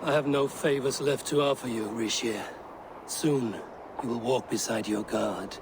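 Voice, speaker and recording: An actor playing a stern, relentless Viking warrior in a video game, speaking in a solemn, measured way. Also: male voice, medium pitch, fairly even intonation, background noise, natural voice. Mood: cold, solemn. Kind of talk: dramatic video game acting.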